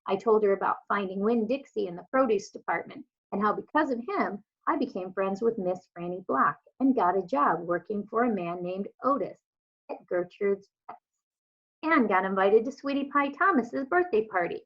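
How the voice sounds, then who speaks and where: A woman's voice: medium pitch, expressive, moderate pace. A woman, a teacher, reading a children's story aloud as narrator. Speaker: elementary school teacher. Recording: dull lo-fi home recording.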